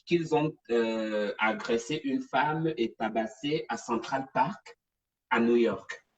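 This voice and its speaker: A woman hosting a casual live stream, talking in an animated, digressive, chatty way. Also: very deep voice, slow, muffled recording, faint music behind. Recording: distant from the mic.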